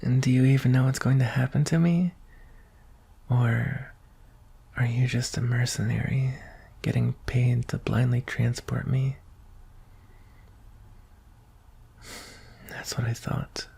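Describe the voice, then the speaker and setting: A performer voicing a shy, anxious young alien prince in an ASMR roleplay, speaking softly and hesitantly in a breathy, husky half-whisper. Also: mid-range voice, fairly even intonation, exaggerated voice. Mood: scared, challenging. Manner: hesitant, halting, tender. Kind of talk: ASMR roleplay, soft intimate delivery.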